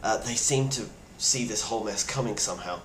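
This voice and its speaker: A man playing a grim, weary plague survivor, speaking gravely and bluntly in a halting video-diary monologue. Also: fairly low pitch, a bit bright, lightly acted. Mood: earnest, advising. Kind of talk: in-character monologue, found-footage video diary. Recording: roomy echo with clear background noise.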